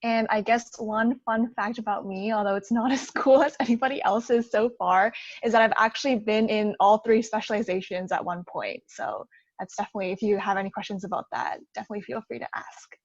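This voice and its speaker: A woman's voice: mid-range voice, a little fast, no echo, highs cut off. A young woman introducing herself on a student panel, friendly and outgoing. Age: young adult. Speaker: student group president. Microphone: video call.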